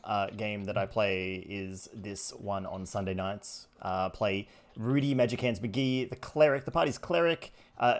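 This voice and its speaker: Aussie man streamer, jokey and self-mocking, everyday pitch, tabletop banter. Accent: Australian. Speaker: player and variety streamer. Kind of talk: casual chat.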